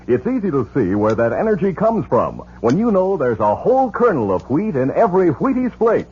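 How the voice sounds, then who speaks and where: A male 1950s radio announcer with a dramatic sales pitch delivery. His fairly high voice sweeps very widely in pitch, on a muffled recording. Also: wildly swooping pitch, fairly quick, a little hiss or hum.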